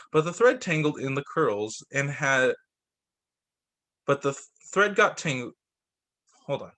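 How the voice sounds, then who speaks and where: Man, teacher reading aloud, children's storybook, slightly higher-pitched voice, very varied intonation, expressive delivery. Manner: stumbles and corrects himself while reading. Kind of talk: picture book read-aloud for children.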